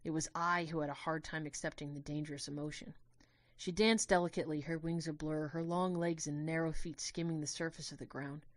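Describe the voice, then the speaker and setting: A woman giving a dramatic first-person narration of a scripted story, in a measured, literary and expressive way. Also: sits low, no echo.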